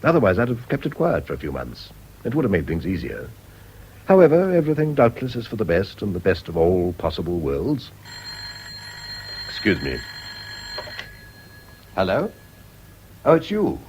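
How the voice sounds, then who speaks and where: An actor playing a suave, uneasy and cynical English gentleman with an English accent in a radio drama. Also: deep voice, big pitch swings, normal speed, long pauses, a little hiss or hum. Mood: uneasy, polite. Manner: urbane, hesitant, sardonic. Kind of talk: British radio drama acting.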